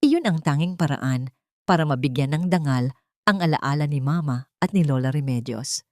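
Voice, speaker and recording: A woman's voice: sits low, lively intonation, moderate pace, no room sound, clean studio sound, pitched below the performer's own voice. A woman voicing a devoted young heiress, tender and resolute, in an audio drama.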